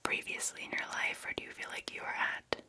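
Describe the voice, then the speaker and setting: Whispering young woman, gentle and soothing. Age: 20s. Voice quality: soft whisper. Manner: gentle, soothing, unhurried questioning. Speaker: ASMR performer playing a therapist. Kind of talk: whispered ASMR roleplay of a therapy session. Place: small cozy indoor room. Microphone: close ASMR microphone, just off camera.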